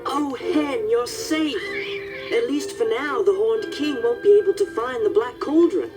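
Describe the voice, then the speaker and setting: A man's voice: very high voice, lively intonation, a little slow, music in the background. A young man playing an earnest, humble teenage boy hero in a storybook recording, unsure of himself. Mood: relieved.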